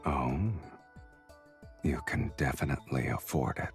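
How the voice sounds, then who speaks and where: A man's voice: rumbling low, fairly even intonation, measured pace, creaky, faint music behind, exaggerated voice. A man voicing a smug, sarcastic crime lord in a romance video game, with a teasing drawl. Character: sardonic, smug crime lord with an eerie power. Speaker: game character. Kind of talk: English voice acting in a romance game.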